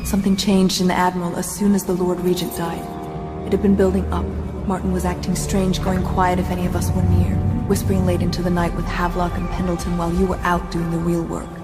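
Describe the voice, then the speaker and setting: A woman's voice: fairly low pitch, natural voice. A woman playing an earnest, shaken, protective survivor in a dramatic video game. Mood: troubled. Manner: earnest, pleading. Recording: reverberant hall sound, music behind.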